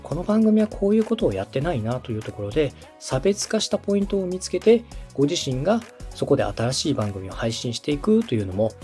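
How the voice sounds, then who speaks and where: A man's voice: medium pitch, darkish tone. A man hosting and coaching, explaining things step by step in a polite, instructive way. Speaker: podcast host and coach, a radio director. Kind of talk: structured explanatory coaching on podcast production.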